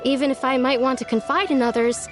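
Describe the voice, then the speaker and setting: A woman voicing a gentle, lonely young village woman in a video game, speaking softly and earnestly. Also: sits high, steady tone, quick pace, lightly acted. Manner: soft, wistful and earnest. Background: faint game music.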